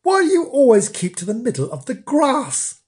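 A woman's voice: medium pitch, big pitch swings, slow, pitched above the performer's own voice. A woman voicing a fat, confident female cat in a storybook, bossy and incredulous. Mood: astonished.

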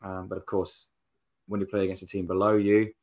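A man with a fairly low voice is a guest on a football podcast. He thinks aloud, hedges his views and pads his talk with fillers. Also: little pitch movement, brisk, a little mellow. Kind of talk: casual football podcast chat.

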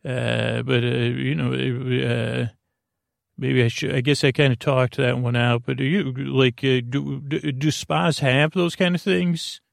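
A male podcast host with a gravelly, creaky voice at a middling pitch. He rambles through a bedtime monologue, halting and wandering off on tangents. The sound is close and dry. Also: level tone, no room sound. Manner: meandering, halting, digressive.

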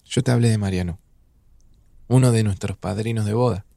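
Older argentine dad, gravelly low voice, tender and nostalgic, dry studio sound. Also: male voice, a little fast, dry recording. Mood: wistful. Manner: gentle, wistful, reflective. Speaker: father. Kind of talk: audio drama acting.

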